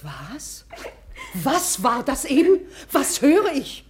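A woman's voice: high-pitched, huge pitch swings, slow, crowd noise, exaggerated voice. A bossy, scolding mother. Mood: indignant. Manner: scolding, brusque, commanding. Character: bossy domineering mother of grown daughters. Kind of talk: 1950s radio play acting.

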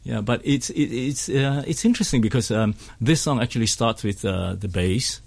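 A man's voice: medium pitch, normal speed, creaky, slightly rough. A man whose first language is Hokkien, a choir director and singer, talking as a guest on a radio show in a hesitant way, full of fillers and chuckles. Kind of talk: casual radio interview. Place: radio studio.

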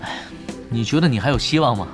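Give a radio-drama gamer captain , acted by a man, casual and wry. He talks quickly with a lot of swing in his pitch. Music plays behind him. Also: expressive, close to an everyday voice. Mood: dry, exasperated. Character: laid-back wry male gamer team leader. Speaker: voice actor, lead character. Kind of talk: Chinese radio drama dialogue.

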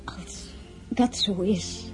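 A woman's voice: medium pitch, very slow, whispered, music in the background. An actress playing a reserved noblewoman in a radio play, speaking hesitantly and politely. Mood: cautious. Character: reserved noblewoman addressed as madame.

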